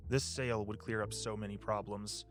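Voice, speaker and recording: An impatient, scornful husband in an audio drama, played by a man. His voice sits on the low side and pushes with open exasperation, with clear music behind it. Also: flat delivery, normal speed, natural voice. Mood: exasperated urging. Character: impatient, dismissive husband eager to sell inherited land.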